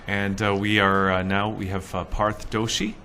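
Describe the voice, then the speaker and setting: A man's voice: on the low side, flat delivery, normal speed, bright, forceful tone, a little hiss or hum. A man hosting a casual talk show, hesitant with many fillers.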